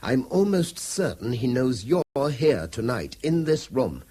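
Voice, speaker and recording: An actor in a radio drama playing a tense, formal British schoolteacher with a short temper and precise wording. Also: male voice, mid-range voice, sing-song, measured pace, dark tone, breathy edge. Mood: tense, grim.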